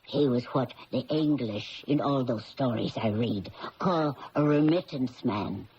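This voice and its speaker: An actress playing a polite, folksy housekeeper in a radio drama, deferential, chatty and slightly flustered. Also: female voice, rumbling low, measured pace, dark tone. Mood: chatty, confiding. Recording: muffled old radio recording, faint hiss.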